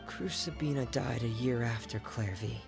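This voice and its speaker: A man plays a reserved teenage boy in an anime game. His mid-range voice is creaky, measured and earnest, with a somber, hesitant way of speaking over clear background music. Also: mid-range voice, natural voice. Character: reserved, soft-spoken adolescent boy orphan, earnest and somber. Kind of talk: anime video game voice acting.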